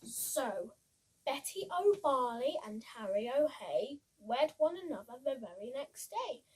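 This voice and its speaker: A female reader giving an animated, slightly halting read-aloud of a children's story. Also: sits high, slightly rough. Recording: voice far from the mic.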